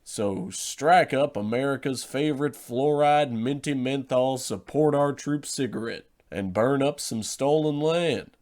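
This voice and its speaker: Slow-talking radio cigarette pitchman played by a man. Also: a little mellow, close to an everyday voice. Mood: smooth selling. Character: folksy old-time cigarette advertising pitchman. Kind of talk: parody of vintage radio sponsor ad.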